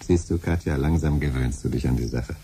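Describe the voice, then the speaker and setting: Very deep-voiced scheming husband. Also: male voice, a little fast, mellow, a little hiss or hum. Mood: scheming, persuasive. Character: greedy, persuasive husband scheming to get rich. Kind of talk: 1970s German radio play dialogue.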